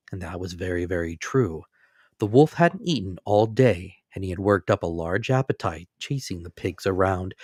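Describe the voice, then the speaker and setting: Low-voiced man telling a fairy tale. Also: a little mellow, no echo, studio quality. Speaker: storyteller. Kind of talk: dramatic scripted fairy-tale narration.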